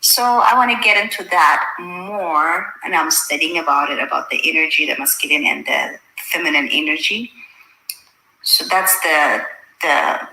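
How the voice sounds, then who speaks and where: A very bright, forward woman's voice sits slightly low for a woman and carries an Ethiopian accent from her native Amharic. She chats informally to livestream followers, working out her thoughts as she speaks. The room around her is clearly echoey. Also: normal speed. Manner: informal, thinking aloud, addressing viewers with endearments. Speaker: social media livestreamer. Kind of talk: casual livestream chat. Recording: roomy, echoey sound.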